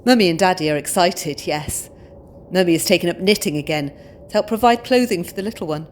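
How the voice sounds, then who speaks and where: A woman's voice: on the low side, fairly quick, a little hiss or hum, lightly acted. A woman playing a young British expectant mother in an audio drama, polite, earnest and outspoken. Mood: cheerful.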